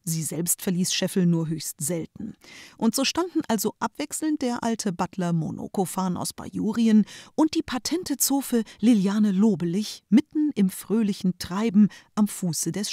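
A woman's voice: mid-range voice, mellow, dry recording. A middle-aged woman reading scripted storybook narration as a storyteller, close to the microphone. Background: music.

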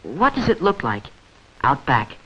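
Curious male visitor in 1940s film, slightly high voice, dull old soundtrack. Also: huge pitch swings, unhurried, a bit bright, husky, full character voice. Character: curious visitor asking simple questions about Australia. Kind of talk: 1940s scripted educational film dialogue.

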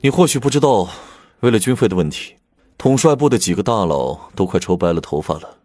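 Audiobook drama prince: lowish register, swooping intonation, grave, candid, weighed down by worry. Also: male voice, lively intonation, fairly quick, a little mellow, no room sound, faint music behind. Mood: troubled, indignant. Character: imperial prince, grave, troubled, candidly lecturing on state finances.

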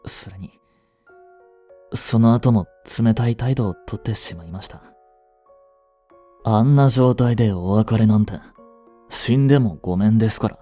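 A man voicing a devoted, protective young butler in a romantic voice drama, frantic while searching a fire and soft and tender at a bedside. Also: on the low side, unhurried, long pauses, faint music behind. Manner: soft, gentle and intimate in the bedside scene.

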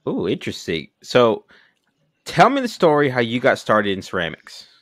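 A man's voice: medium pitch, wildly swooping pitch, normal speed, a bit bright. A young man hosting a pottery podcast, upbeat and friendly as he opens the show and asks questions. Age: young adult. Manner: upbeat, friendly intro patter. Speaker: podcast host. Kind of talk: casual podcast interview. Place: small indoor room. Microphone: large studio mic on boom arm.

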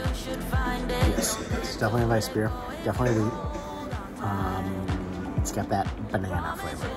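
A North American man in his thirties talks casually to a camera held at arm's length. His pitch moves around in a lively way, and music often plays prominently behind him. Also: normal speed. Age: 30s. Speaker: family travel vlogger. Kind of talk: casual vlog chat to camera. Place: cafe-like hostel bar and outdoor beer garden.

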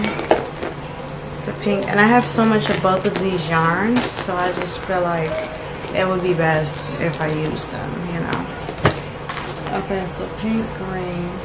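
A woman's voice: medium pitch, heavy background noise. A young woman in her bedroom, chatting casually about her crochet plans, thinking aloud and correcting herself. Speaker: crochet vlogger. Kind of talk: casual chat. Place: bedroom, indoors.

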